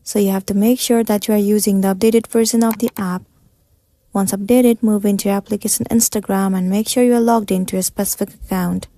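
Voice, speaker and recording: A woman's voice: mid-range voice, fairly even intonation, fairly quick, mellow, dry recording. A woman giving scripted step-by-step tutorial narration in a methodical, instructional manner.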